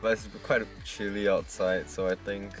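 A man's voice: fairly low pitch, flat delivery, moderate pace, recorded from a distance, soft background music. A man in his twenties with a Singaporean accent, chatting casually as a travel vlogger. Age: 20s. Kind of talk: casual vlog chat. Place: mostly inside a parked or moving car.

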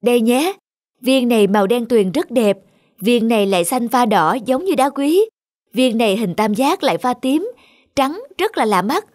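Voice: medium pitch, lively intonation, normal speed, dry recording, clean studio sound, close to an everyday voice. A performer voicing an enthusiastic little boy in a cartoon, with an eager, earnest, childlike manner. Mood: eager, proud. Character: enthusiastic little boy who collects stones. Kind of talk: Vietnamese cartoon voice acting.

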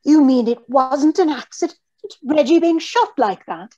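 A woman's voice: sits high, animated, unhurried, mellow. A woman playing an anxious, genteel young fiancée in old-time radio mystery style, with a pleading manner. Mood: nervous, pleading. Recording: dull video-call audio.

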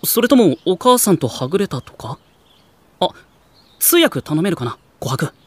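A man's voice: sits high, sing-song, normal speed, very noisy. A man playing a kind, easygoing teenage boy with a casual, good-natured way of talking. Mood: wondering.

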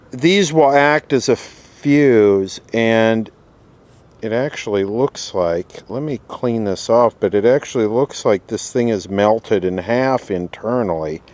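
Slow-paced man thinking aloud. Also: on the low side, darkish tone. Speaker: host, vintage radio repairer. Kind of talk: casual off-the-cuff repair narration. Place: beside an old console radio being worked on.

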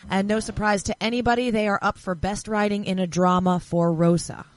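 A woman's voice: mid-range voice, steady tone, a little fast. A woman presenting a casual news segment on a podcast panel, chatting among friends.

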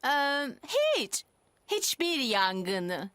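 Animated high-voiced lady rabbit firefighter. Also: drawn-out words, background noise, exaggerated voice. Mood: matter-of-fact. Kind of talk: children's cartoon dub. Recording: loud music behind.

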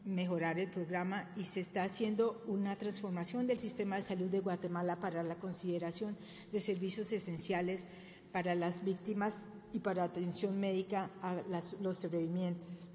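A woman's voice: lowish voice, steady tone, moderate pace, dark tone, slight background noise. An older woman giving a formal presentation at a podium, speaking with frequent fillers and self-corrections. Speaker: conference speaker. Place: government press room. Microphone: podium microphone. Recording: reverberant room, faint ambience.

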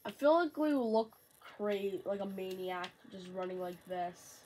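A woman's voice: mid-range voice, lively intonation, darkish tone, slight background noise. A woman with a sore throat, casually commenting on her game from a bedroom and rambling to herself. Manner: slow, rambling, talks to self. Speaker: gamer.

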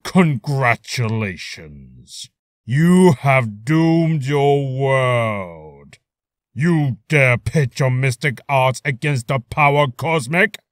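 A haughty cosmic giant speaks very slowly and ponderously, with grand swings of tone. He is an arrogant game villain in a dry recording. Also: mid-range voice, expressive, dry recording. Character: vast arrogant male cosmic devourer of worlds. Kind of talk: video game character voice reel.

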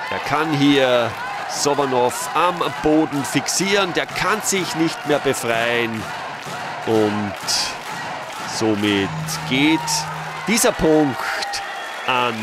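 A man's voice: medium pitch, very noisy. A man giving matter-of-fact commentary as a voice-over, lifting his voice at the key moments. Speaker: sports commentator. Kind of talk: recorded match commentary voice-over. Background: cheering crowd in a sports hall.

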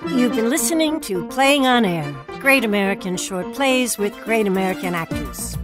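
A woman's voice: mid-range voice, expressive, normal speed, over loud music. A woman hosting, presenting from a script and interviewing guests. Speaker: host.

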